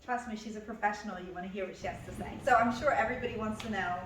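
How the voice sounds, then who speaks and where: A woman's voice: mid-range voice, very fast. Lady interviewer, playful and teasy, roomy echo, sits back from the mic, bit of noise. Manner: playful, teasing questions. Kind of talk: casual interview chat. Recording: echoey room, voice from a distance, some noise.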